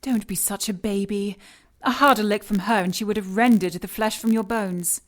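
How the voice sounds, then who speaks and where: A woman's voice: medium pitch, fairly even intonation, moderate pace, lightly acted. An actress playing a cold, obsessed female scientist and shapeshifter villain in an audio drama, composed, clinical and condescending. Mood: taunting.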